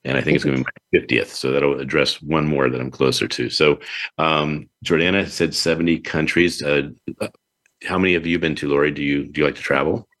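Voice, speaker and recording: A man's voice: a bass voice, fairly even intonation, fairly quick, fairly bright tone. A man hosting a casual interview show, chatty and expansive. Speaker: show host.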